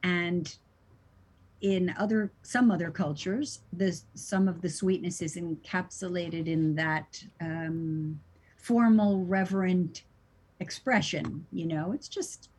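An American woman who teaches Sanskrit, talking in a relaxed, thoughtful way with many "you know"s. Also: lowish voice, slow, breathy edge, low-quality recording. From United States. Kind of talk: casual conversation.

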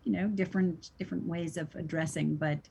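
American woman, very breathy husky voice, kinda low, chatting casually about language. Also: fairly quick. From United States. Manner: relaxed, thoughtful, many "you know"s. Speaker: Sanskrit teacher. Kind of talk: casual conversation.

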